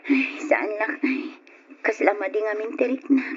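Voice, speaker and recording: An actress playing a patient, dutiful young pregnant wife in an old radio drama. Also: female voice, fairly high pitch, normal speed, husky. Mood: gentle. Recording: dull, lo-fi radio drama recording.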